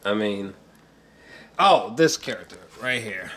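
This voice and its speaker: A man's voice: on the low side, expressive, slow, a bit bright. A man giving a casual, rambling film review, searching for words and repeating himself, with emphatic, slangy swearing. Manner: emphatic, fannish.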